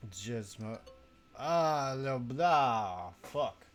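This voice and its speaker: A man's voice: mid-range voice, lively intonation, extremely slow, soft background music. A young man from Mexico chatting casually on a live stream, sleepy, rambling and self-deprecating, swearing now and then.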